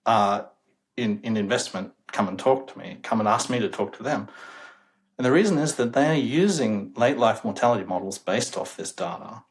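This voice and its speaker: A young man, a demographer guest in an interview, talking emphatically and sounding incredulous about the data, chuckling now and then. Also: medium pitch. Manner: emphatic, incredulous, chuckles while making points. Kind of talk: casual interview talk.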